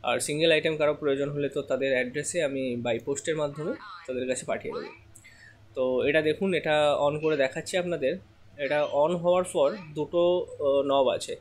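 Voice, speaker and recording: A man giving a casual product demonstration as a seller, explaining step by step with frequent hesitant pauses. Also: fairly high pitch, fairly even intonation.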